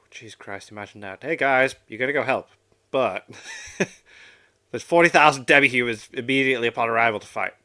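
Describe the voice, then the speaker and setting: A man's voice: mid-range voice, moderate pace, pushed and bright. A man hosting a reaction video with casual, informal commentary, swearing now and then and acting out imagined dialogue.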